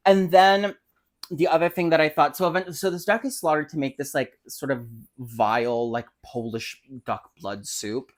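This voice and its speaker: A man's voice: a little high, steady tone, moderate pace. A man from the United States co-hosting a casual book podcast, telling stories in a hyperbolic, opinionated, sweary way with lots of filler words. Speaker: podcast co-host.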